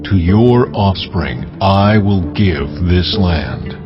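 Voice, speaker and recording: An actor playing God as a solemn, stately, commanding divine voice in a dramatized audio scripture. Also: male voice, deep voice, lively intonation, slow, lo-fi sound, music in the background, heavily acted. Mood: solemn promise.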